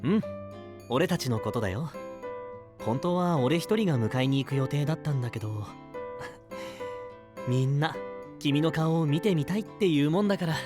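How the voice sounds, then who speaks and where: An actor playing a friendly, playful young male knight in an anime-style voice drama, smooth-talking and teasing. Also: on the high side, expressive, normal speed, long pauses, close to an everyday voice. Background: music.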